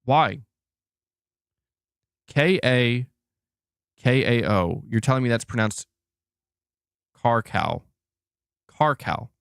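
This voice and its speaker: A man's voice: mid-range voice, lively intonation, extremely slow, gravelly, pauses often, smooth, no room sound, clean studio sound. A man hosting a gaming news stream, talking casually and informally as if chatting with viewers. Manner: informal, conversational, responding to chat. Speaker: host of a gaming news livestream.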